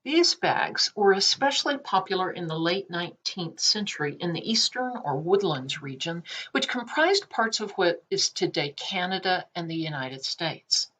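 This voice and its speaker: A woman's voice: lowish voice, animated, moderate pace, hardly any pauses, fairly bright tone, low-quality recording. A woman teaching art history by reading an essay aloud. She reads carefully and unhurriedly, with small slips and self-corrections. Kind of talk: read-aloud lesson.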